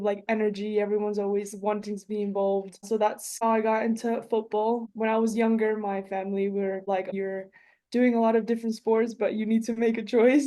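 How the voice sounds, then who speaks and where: A young woman from Colombia talks through her football life as a podcast guest. Her voice is warm and relaxed, sitting at a middle pitch. She rambles a little, with fillers and restarts. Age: 20s. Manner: chatty, many fillers and restarts. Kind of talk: casual interview chat.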